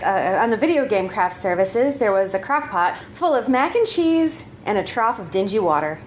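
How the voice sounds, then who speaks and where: Woman, comedic story reading, very lively intonation, playful and giggly, faint background noise. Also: medium pitch, normal speed. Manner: playful, giggly, breaks character. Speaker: troupe member reading own comedy story.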